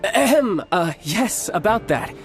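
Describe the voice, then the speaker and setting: Casting: suave young game fighter and fond big brother; cocky, polished delivery, animated intonation, light music underneath. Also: male voice, sits high, measured pace, exaggerated voice. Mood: smooth, persuasive. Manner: theatrical, polished, playful. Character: suave cocky young male warrior, doting older brother. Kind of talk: video game voice acting.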